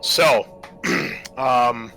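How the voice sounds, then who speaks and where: Male game master, bright forceful voice, improvised narration, rules explanations, music underneath. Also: mid-range voice, drawn-out words. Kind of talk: narrating a tabletop roleplaying game. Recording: music behind the voice.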